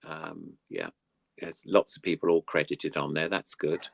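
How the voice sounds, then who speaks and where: A man's voice: a bass voice, sing-song, unhurried, gravelly, no echo. An older man with an English accent, chatting casually as an interview guest in a rambling, anecdotal way. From United Kingdom. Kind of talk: casual reminiscing chat.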